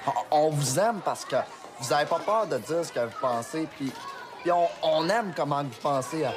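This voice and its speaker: A man's voice: on the high side, lively intonation, normal speed, crowd noise, lightly acted. An actor playing an earnest young male fan, hesitant and sincere, with a Québécois French accent. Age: young adult.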